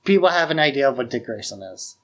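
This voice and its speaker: A man's voice: mid-range voice, sing-song, quick pace. A man co-hosting a podcast, discussing films casually and informally, sometimes voicing imagined remarks as he explains. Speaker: podcast co-host.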